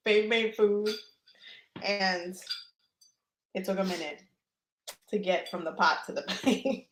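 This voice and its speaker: A woman's voice: medium pitch, slow, pauses often, a little hiss or hum. Chatty laughing woman, echoey room. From Dominican. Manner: chatty and laughing, talks while eating. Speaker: host of a tarot and astrology live stream. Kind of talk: casual live-stream chat.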